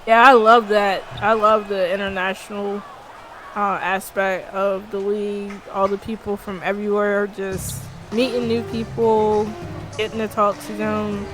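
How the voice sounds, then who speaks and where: A woman's voice: mid-range voice, little pitch movement, unhurried, over music. A woman doing upbeat, chatty color commentary, full of fillers and easy laughter. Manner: upbeat, chatty, laughs easily, lots of likes. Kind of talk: casual sports commentary banter.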